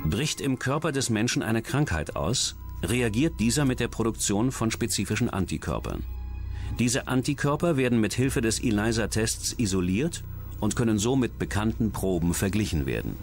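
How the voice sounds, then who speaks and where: A man's voice: on the low side, big pitch swings, normal speed. A man reading scripted true-crime documentary narration as the narrator. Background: background music.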